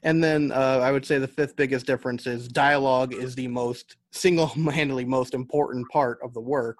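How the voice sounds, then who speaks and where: A man's voice: medium pitch, steady tone, normal speed, no echo. An American man speaking as an interview guest, explaining his craft conversationally and thinking his points through aloud. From United States. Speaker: podcast guest, novelist and audio drama writer.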